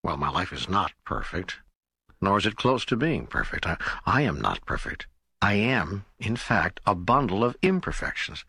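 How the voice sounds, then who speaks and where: A deep-voiced, earnest doubting seeker. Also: male voice, big pitch swings, moderate pace, pushed and bright, husky, no echo, clean studio sound, exaggerated voice. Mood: troubled, confessing. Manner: hesitant, earnest, searching. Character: earnest, doubting male seeker questioning God. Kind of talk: dramatized audiobook dialogue.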